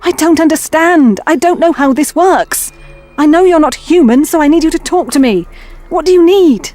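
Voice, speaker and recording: An anxious, determined young woman in an audio drama. Her voice is fairly high, her manner urgent, blunt and wry, with faint music behind. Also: expressive, moderate pace, dark tone.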